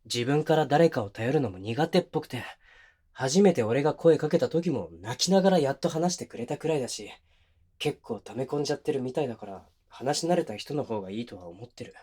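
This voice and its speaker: A man acting as a considerate but oblivious young boyfriend in an intimate audio drama, talking casually and softly with pauses. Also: medium pitch, animated, husky. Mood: puzzled, defensive. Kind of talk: intimate situation-voice audio drama, naturalistic one-sided dialogue.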